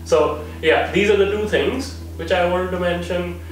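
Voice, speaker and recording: A young man, his voice a little high, telling stories among friends and breaking into laughs. He is picked up by a camera across a living room, so the sound is very echoey and distant. Faint music plays underneath. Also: moderate pace. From Pakistan. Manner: laughs often, restarts sentences, animated hand gestures. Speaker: vlog host. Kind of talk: casual storytelling among friends. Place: living room in a flat, indoors. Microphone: far camera. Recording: very roomy, from a far-off camera mic.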